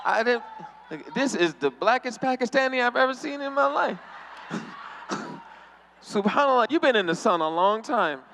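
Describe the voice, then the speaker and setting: High-voiced teasing comedian man. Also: animated, normal speed, long pauses, pushed and bright, a little hiss or hum. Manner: teasing, laughs often, crowd work. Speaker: stand-up comedian. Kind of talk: stand-up comedy. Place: convention hall stage.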